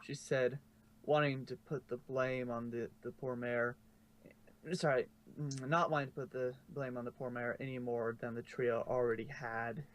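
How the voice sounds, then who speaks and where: A man's voice: mid-range voice, level tone, moderate pace. A man reading scripted fan fiction narration, sometimes stumbling and correcting himself.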